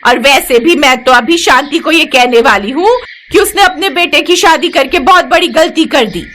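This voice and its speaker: Female narrator acting bitter old mother-in-law, very high shrill pitch, taunting, theatrical nagging, cartoon moral story. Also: normal speed, a bit bright, a little hiss or hum. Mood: scornful. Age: older. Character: bitter, scolding old mother-in-law berating her daughter-in-law. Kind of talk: dramatised Hindi moral storytelling.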